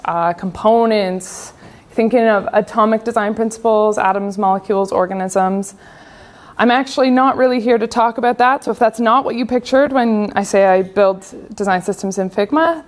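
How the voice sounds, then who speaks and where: A young woman with a Canadian accent giving a casual, chatty conference talk on design. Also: mid-range voice. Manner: casual, chatty with frequent fillers. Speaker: conference speaker.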